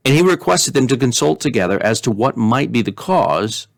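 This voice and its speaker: A man reading a story aloud in an expressive, measured way, as a pastor hosting storytime. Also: medium pitch, creaky, dry recording, studio quality. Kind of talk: storybook reading with informal recap.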